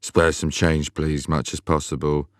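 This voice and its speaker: A man's voice: a bass voice, flat delivery, measured pace, no room sound, studio quality. A man voicing a male street beggar who keeps cadging change and cigarettes in an insistent, pleading way. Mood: wheedling.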